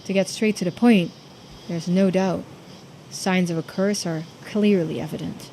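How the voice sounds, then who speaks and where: A woman voicing a diligent assistant investigator in a mystery game, giving formal, measured reports. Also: lowish voice, steady tone, warm tone, a little hiss or hum. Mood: formal, serious. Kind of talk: voiced visual-novel dialogue.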